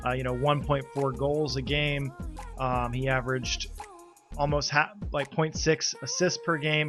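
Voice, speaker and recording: A man with a stuffed-up voice, like he's catching a cold, talks through a casual recap in a loose, halting way, full of ums. His tone is bright and forceful at a middling pitch. Soft music plays quietly behind him. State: congested, coming down with a cold. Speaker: league organizer and team player.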